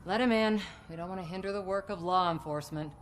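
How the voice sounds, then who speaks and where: Woman playing a sly boss lady in a game, low voice, assertive and manipulative. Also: quick pace, slight background noise, lightly acted. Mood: composed. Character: scheming, manipulative woman running a commercial shoot. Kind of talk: video game cutscene acting.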